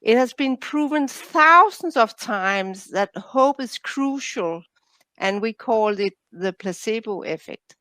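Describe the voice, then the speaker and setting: A woman's voice: a little high, fairly bright tone, dry recording. A woman from Denmark with a Danish accent, speaking English as her second language, answering interview questions carefully as an author and coach.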